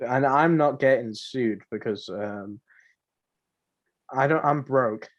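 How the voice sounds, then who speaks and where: Young male podcast co-host, average pitch. Slangy, repetitive, rambling and jokey, casual throughout. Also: takes it slowly, highs cut off. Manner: joking, rambling, slangy, repeats himself. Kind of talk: casual banter.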